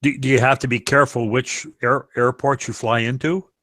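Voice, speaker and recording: A man's voice: medium pitch, fairly even intonation, moderate pace, a bit bright, no room sound. A man chatting casually in a group, asking questions and telling stories.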